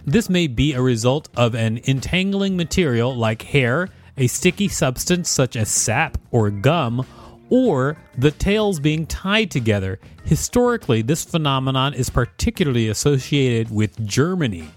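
A male podcast host reads reference text aloud with commentary. His pitch moves around a lot while his pace stays unhurried. The delivery is playful and theatrical, with faint music around it. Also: expressive. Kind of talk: reading an encyclopedia page aloud with commentary.

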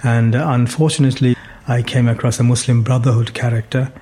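A man in his sixties, from Bangladesh, telling his life story as a podcast guest. His voice is dark and mellow, and his delivery stays flat and even. He speaks in a measured, thoughtful way, pausing on fillers and restarting sentences. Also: mid-range voice, slight background noise. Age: 60s. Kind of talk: reflective life story in conversation.

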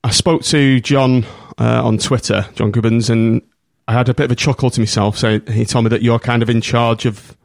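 A man hosts a casual podcast, friendly and a little rambling as he restarts his sentences. His voice is gravelly at an ordinary pitch, and the recording is dry and close with no room sound. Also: steady tone, moderate pace, few pauses, no room sound. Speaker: podcast host.

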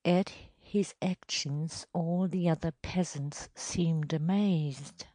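A woman voicing a reluctant, deferential male village elder in a storybook reading, reporting in a measured, hesitant way. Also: deep voice, dark tone, no room sound. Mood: admiring.

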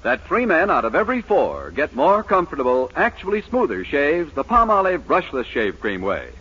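A man's voice: fairly high pitch, wildly swooping pitch, normal speed. A man reading a 1940s radio commercial as an emphatic announcer. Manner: emphatic salesman delivery. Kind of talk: 1940s radio advertising read. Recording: muffled old radio broadcast recording.

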